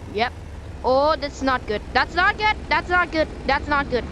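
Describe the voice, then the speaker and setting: Excitable high-voiced woman gaming. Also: measured pace, slight background noise, rough recording. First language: Thai. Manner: excitable, exclamatory, repeats short cries. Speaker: gamer playing a storm-chasing game. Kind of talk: live gameplay commentary.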